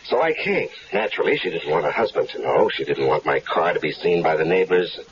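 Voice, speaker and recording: A man's voice: rumbling low, expressive, a little fast, slight background noise. An actor playing an easygoing stranger who tells his story in a wry, casual way, in a 1970s radio drama. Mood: storytelling. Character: easygoing stranger caught up in a plot. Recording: dull lo-fi 1970s radio recording.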